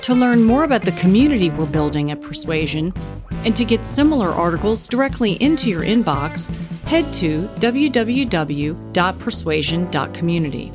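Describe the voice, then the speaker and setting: A woman's voice: on the low side, lively intonation, moderate pace. A woman announcing a published article and the show's website in a scripted read. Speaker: show announcer. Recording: clear music bed behind.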